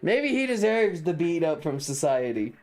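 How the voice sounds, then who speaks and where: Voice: medium pitch, very animated, moderate pace, darkish tone, faint music behind. A streamer chatting casually over a game in a sardonic, mock-serious way, taking time over the words. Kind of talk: casual livestream chat and game commentary.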